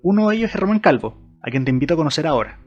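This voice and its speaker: A man's voice: fairly high pitch, big pitch swings, racing, muffled recording, faint music behind. A man with a Chilean accent, hosting his channel with scripted video essay narration addressed to viewers. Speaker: YouTube channel host.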